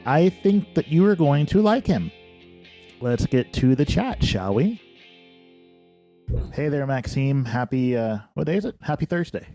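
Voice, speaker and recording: A man from the American Midwest hosting a podcast, opening with a measured, announcer-like introduction before greeting his guest. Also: medium pitch, big pitch swings, dark tone, soft background music. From Dayton, Ohio. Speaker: podcast host. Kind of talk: scripted podcast intro and interview.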